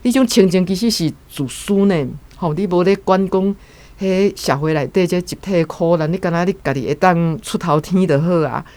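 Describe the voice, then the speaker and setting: An earnest speaker with a Taiwanese accent and a medium-pitched voice. The talk is casual and reflective, explaining points with frequent interjections. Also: normal speed, a little mellow.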